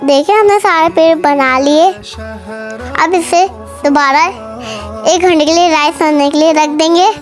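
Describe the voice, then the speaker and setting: A woman's voice: very high-pitched, unhurried, long pauses. A young-sounding female cooking host, narrating a recipe step by step in voice-over. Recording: music behind the voice. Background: background music.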